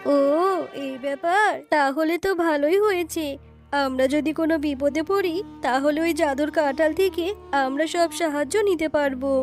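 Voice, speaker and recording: A woman's voice: sits high, moderate pace, faint music behind, lightly acted. A woman voicing a suspicious, greedy tigress auntie in a children's cartoon, with an accusing, scheming manner. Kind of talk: children's fairy-tale cartoon dubbing.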